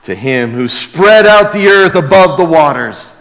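A man's voice: fairly high pitch, expressive, measured pace, vocal fry, a bit bright. A man preaching a sermon and reading scripture aloud with emphatic, reverent delivery. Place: large church hall before a congregation. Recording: reverberant large hall, amplified live sermon.

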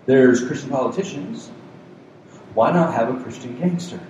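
A man's voice: medium pitch, moderate pace, a little mellow, distant mic, highs cut off. Soothing man preaching in an echoey hall. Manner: conversational, joking preacher. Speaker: pastor. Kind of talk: sermon. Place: community center meeting room with a cooling unit. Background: faint hum of a cooling unit.

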